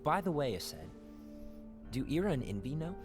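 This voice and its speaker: A man's voice: on the high side, very animated, moderate pace, over music. A man playing a guarded, scheming leader in a video game, cool and businesslike. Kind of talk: video game cutscene acting.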